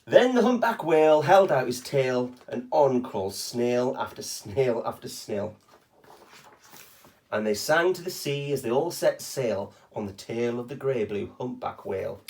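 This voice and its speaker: A man's voice: mid-range voice, animated, unhurried, a little mellow. A man reading a rhyming children's picture book aloud expressively, with short chatty asides to young listeners.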